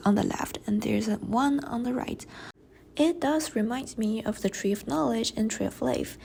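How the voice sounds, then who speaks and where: A young woman whose first language is Chinese, reading a class presentation in a careful, measured way with light breathy passages. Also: a little high, a little mellow. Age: young adult. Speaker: student presenter. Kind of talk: read class presentation narration.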